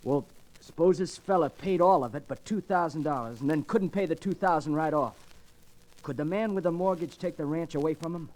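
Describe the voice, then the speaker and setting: An actor playing an earnest, awkward young cowhand in an old-time radio western, folksy and halting. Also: male voice, a little high, darkish tone, natural voice. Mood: uneasy. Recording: noisy vintage radio recording.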